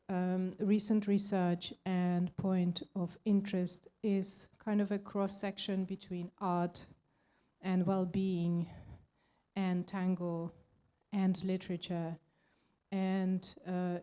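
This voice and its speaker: A woman organizer introduces the next speaker at a conference. She reads partly from notes in a halting way. Her voice is lowish, dark and quite flat. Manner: halting, with fillers while reading from notes.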